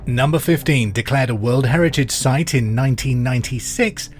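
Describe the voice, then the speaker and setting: Middle aged guy doing pub quiz questions, clear and deliberate, music playing behind him. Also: mid-range voice. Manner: clear deliberate delivery, repeats each question. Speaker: pub quiz podcast host. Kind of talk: quizmaster reading trivia questions and answers. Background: music bed.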